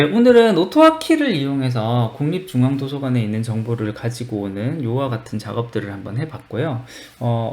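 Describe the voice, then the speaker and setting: Male tutorial presenter, medium pitch with lively swings, talking through coding steps informally as he works. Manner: informal step-by-step thinking aloud. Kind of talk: screen-recorded coding tutorial, explaining steps as they are typed.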